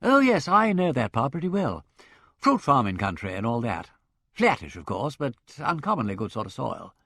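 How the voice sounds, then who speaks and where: A man voicing a wry, understated aristocrat, speaking lower and more quietly. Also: wildly swooping pitch, normal speed, creaky, slightly rough, no room sound, heavily acted. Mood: dry. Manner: dry, cautious, understated. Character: urbane, wry English aristocrat sleuth.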